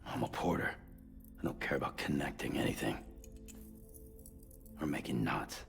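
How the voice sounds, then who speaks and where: A man acting a gruff, reluctant, withdrawn porter in a video game, muttering in a gravelly voice. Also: rumbling low, normal speed, faint music behind. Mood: reluctant, flat.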